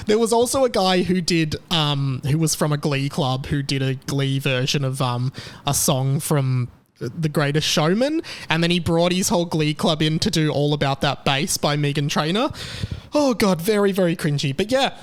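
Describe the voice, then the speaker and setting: A man's voice: a little high, normal speed. A young man hosting his own podcast, chatting casually with sarcasm and frequent laughter. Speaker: podcast host. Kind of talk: casual solo chat.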